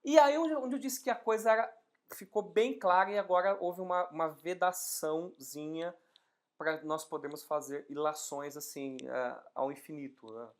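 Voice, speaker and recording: A woman teaching an exam-prep law class, explaining step by step and posing rhetorical questions with lively emphasis. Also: low voice, normal speed. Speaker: law instructor. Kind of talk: exam-prep legal lecture.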